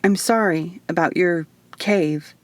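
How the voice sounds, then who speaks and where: A woman's voice: fairly low pitch, slow, no echo. A woman voicing a sarcastic, skeptical young woman stranded on an alien planet, in flippant audiobook dialogue. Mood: puzzled, polite. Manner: flippant, casual.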